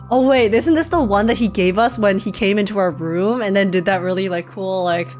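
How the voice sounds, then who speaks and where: A young woman with a mid-range voice reacts to a video game in a casual, slangy way. She is animated and repeats phrases for emphasis, over faint game music. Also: medium pitch, moderate pace. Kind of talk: casual excited live commentary.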